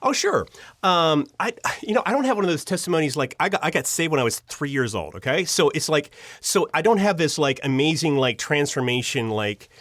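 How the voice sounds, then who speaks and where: A man from Pennsylvania speaking as an interview guest, telling personal stories casually in a rambling, expressive way. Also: fairly high pitch, a little fast, no room sound, clean studio sound. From Pennsylvania, United States. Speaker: podcast guest, storyteller. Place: studio.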